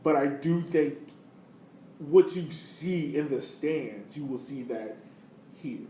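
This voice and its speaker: A man's voice: fairly high pitch, slow, warm tone. A man hosting a soccer podcast in casual conversation, often dropping in "you know" as he talks. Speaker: podcast host. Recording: roomy echo, clear background noise.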